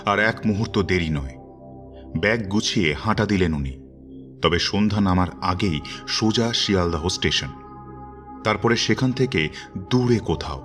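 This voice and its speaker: Man, dramatic story narrator, low voice, suspenseful measured phrasing, soft background music. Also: lively intonation.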